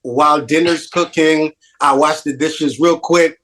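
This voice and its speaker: A man with a Haitian accent tinged by New York, hosting a relaxed interview. His voice sits a touch high for a man, and he talks in an animated, self-deprecating way, often repeating his phrases. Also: unhurried. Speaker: podcast host. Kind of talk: casual interview chat.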